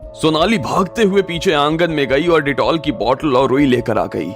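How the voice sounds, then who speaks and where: A man's voice: on the high side, normal speed. A man narrating a scripted audio story in a dramatic storytelling style. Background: faint music.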